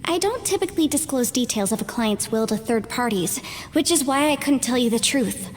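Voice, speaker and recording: A woman's voice: a little high, lively intonation, a little fast, slight background noise, lightly acted. A woman playing a polite, earnest, composed young professional who speaks formally and precisely in a video game. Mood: apologetic, earnest. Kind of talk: video game cutscene acting. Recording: reverberant dialogue with music behind.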